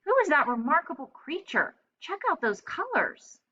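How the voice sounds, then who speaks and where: A woman voicing an excitable, easily startled talking parrot in a picture-book read-aloud. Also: high-pitched, normal speed, dull audio, pitched above the performer's own voice, full character voice. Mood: amazed.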